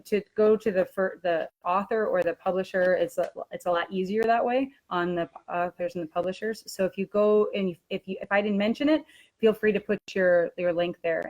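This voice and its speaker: Lower-pitched woman presenting a casual webinar. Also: darkish tone. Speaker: language teaching webinar presenter.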